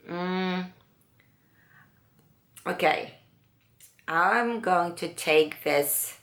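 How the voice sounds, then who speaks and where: A woman with a low, raspy voice chatting slowly through a makeup tutorial, pausing often. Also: fairly bright tone, echoey room. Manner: unhurried, musing, with many pauses and fillers. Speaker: beauty vlogger. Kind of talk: casual makeup tutorial chat.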